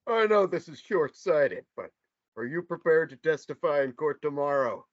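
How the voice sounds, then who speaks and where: A man's voice: fairly high pitch, animated, moderate pace, lightly acted. A man in a table read voicing a dutiful police officer who speaks formally and politely. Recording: muffled lo-fi recording.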